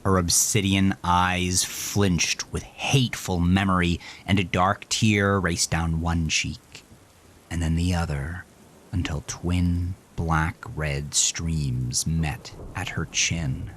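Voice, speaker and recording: Deep slow horror story narrator, man. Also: fairly even intonation, a bit bright, slight background noise. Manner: slow, intense, brooding.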